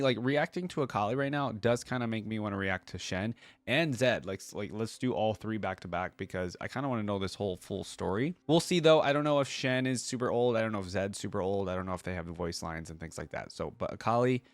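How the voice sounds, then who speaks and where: A man giving casual reaction commentary as a video host, informal and thinking out loud. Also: fairly low pitch, fairly quick, dry recording. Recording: music behind the voice. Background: game cinematic and music playback.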